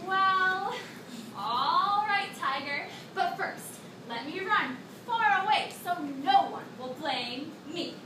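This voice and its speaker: Very high-pitched, tricky little mouse deer played by a woman. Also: animated, takes it slowly, heavily acted. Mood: mock concern. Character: small, quick-witted, tricky female mouse deer. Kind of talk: children's readers theatre performance. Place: classroom, indoors. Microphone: distant camera. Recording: echoey classroom from far, noisy.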